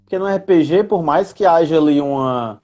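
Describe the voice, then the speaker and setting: A woman's voice: low-pitched, normal speed, dark tone, soft background music. A woman with a Brazilian accent explaining things in a casual live chat, in a didactic way, often checking for agreement with little tag questions. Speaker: guest explaining role-playing games in education and work.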